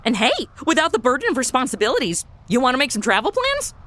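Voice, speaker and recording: Woman playing a brash, high-pitched angel trainee. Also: wildly swooping pitch, fast talker, fairly bright tone, heavily acted. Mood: flippant, wry. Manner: sassy, exclamatory modern slang. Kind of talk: comedy audio drama acting.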